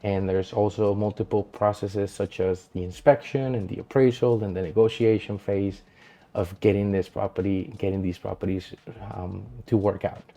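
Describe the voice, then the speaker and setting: A man's voice: lowish voice, little pitch movement, normal speed, warm tone. A man, talking to camera from a small home studio in an easygoing, earnest way as a real estate agent introducing himself. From Colombia. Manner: easygoing, earnest, loose filler-heavy delivery. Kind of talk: self-introduction vlog. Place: small dim home studio with colored lights.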